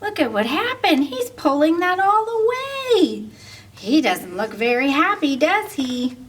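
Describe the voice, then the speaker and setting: A woman reading a picture book aloud to children, animated and pausing to ask her young listeners questions. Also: sits high, recorded from a distance. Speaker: story reader.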